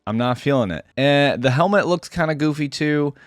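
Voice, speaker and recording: A man's voice: fairly high pitch, lively intonation, moderate pace, no echo, clean studio sound, faint music behind. A man giving casual, unscripted reaction commentary on a video game, talking in an informal, rambling way with hedging asides.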